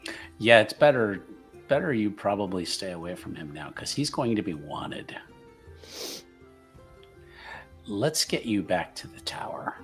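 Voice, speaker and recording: Guy doing a calm protective guardian in a tabletop game, low gentle voice, bit hesitant, faint music. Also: normal speed. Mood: concerned. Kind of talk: in-character tabletop roleplay.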